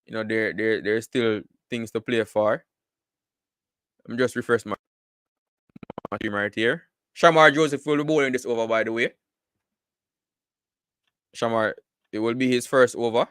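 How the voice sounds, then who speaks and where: A man's voice: medium pitch, fairly even intonation, normal speed, pauses often, a bit bright, smooth, dry recording, clean studio sound. Chatty man commentating cricket live. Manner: chatty, many repeated words and "you know" fillers. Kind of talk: casual live commentary with chat replies.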